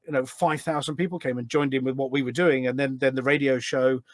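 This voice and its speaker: A middle-aged man chatting casually as a podcast guest, telling stories in an animated way. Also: medium pitch, brisk, no room sound, studio quality. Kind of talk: casual anecdotal chat.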